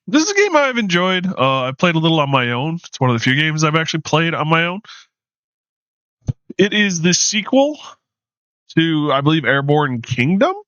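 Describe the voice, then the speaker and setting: A man with a fairly high voice, slightly hoarse and tired, chats loosely to his viewers, wandering through his thoughts with plenty of ums and uhs. The sound is dry and a little dull, like a home streaming mic with no room around it. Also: big pitch swings, moderate pace, fairly bright tone, no echo. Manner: low-key, rambling with frequent ums and uhs. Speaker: game streamer. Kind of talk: casual live-stream chat.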